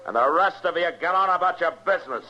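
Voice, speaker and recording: An actor playing a stern, steady American marshal in a western radio drama, speaking in terse, commanding phrases. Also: male voice, sits high, fast, full character voice. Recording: muffled, noisy old radio recording.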